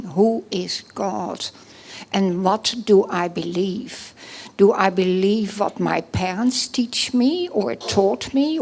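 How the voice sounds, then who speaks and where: Slow, gravelly Dutch-accented woman. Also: mid-range voice, darkish tone. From Netherlands. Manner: slow, halting, with many pauses and restarts. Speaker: church member giving testimony. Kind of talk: personal testimony at a church service.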